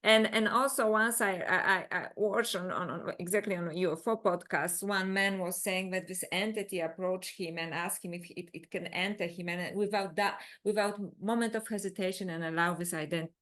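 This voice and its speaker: A woman from Poland, the guest in a conversational interview, talking in an animated way full of restarts and "you know". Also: fairly low pitch, moderate pace, few pauses. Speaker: guest author.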